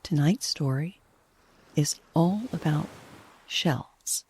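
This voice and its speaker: A woman with a soft, breathy, hushed voice gently and soothingly narrating a guided sleep meditation, unhurried throughout. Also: sits low.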